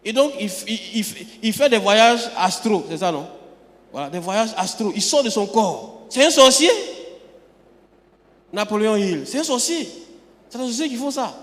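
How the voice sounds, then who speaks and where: Male preacher, high-pitched and emphatic, restarts phrases mid-sentence; recorded with a long hall echo. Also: expressive, normal speed. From Côte d'Ivoire. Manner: emphatic, repeats words and restarts often. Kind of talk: sermon. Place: church sanctuary.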